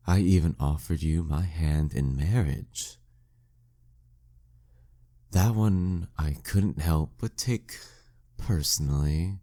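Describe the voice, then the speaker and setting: Man acting a very deep, slow, teasing demon king. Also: warm tone, heavily acted. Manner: teasing, smug, slow deliberate delivery. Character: flirty, dominant, taunting demon king villain. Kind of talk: intimate scripted audio roleplay monologue.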